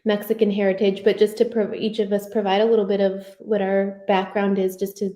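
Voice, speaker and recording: A woman's voice: medium pitch, level tone, fairly quick, mellow. An American woman whose first language is Spanish, speaking informally as she leads an online panel discussion. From United States, Michigan. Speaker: panelist leading a community board discussion. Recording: roomy, echoing sound.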